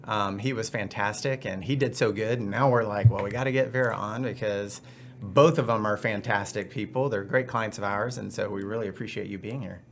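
A man's voice: on the low side, fairly even intonation, fairly quick, a little hiss or hum. A man hosting a podcast, upbeat and chatty with rambling asides. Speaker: podcast host. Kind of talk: casual podcast chat.

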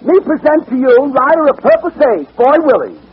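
An actor playing a fussy, excitable chamber of commerce secretary, pompous and easily flustered, in an old radio comedy. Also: male voice, very high-pitched, a little fast. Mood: self-important. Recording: noisy, dull old radio recording.

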